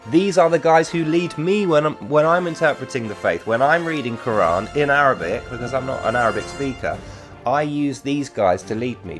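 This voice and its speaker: A man's voice: medium pitch, fairly bright tone. Man lecturing straight to camera. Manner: argumentative, lecturing, talks straight at the viewer. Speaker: video creator. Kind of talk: direct-to-camera response video.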